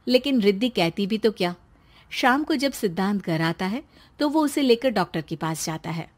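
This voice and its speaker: A woman's voice: mid-range voice, lively intonation, normal speed. A woman narrator reading a scripted story.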